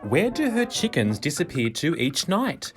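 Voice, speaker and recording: A man reading a children's picture book in verse, with expressive, dramatic, rhythmic narration. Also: fairly high pitch, unhurried, faint music behind.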